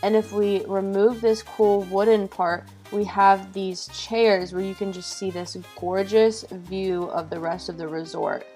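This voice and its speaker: A woman's voice: medium pitch, fairly even intonation, a little slow, few pauses, mellow. A bubbly woman with a mid-range voice gushes over a game build, giggling as she goes. Faint music sits under her chat. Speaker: co-host touring a game build. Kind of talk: casual game tour chat.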